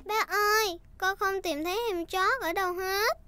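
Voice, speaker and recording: A woman's voice: extremely high pitch, fairly even intonation, moderate pace. A woman voicing a cheerful little girl piglet in a dubbed cartoon. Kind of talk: cartoon dubbing.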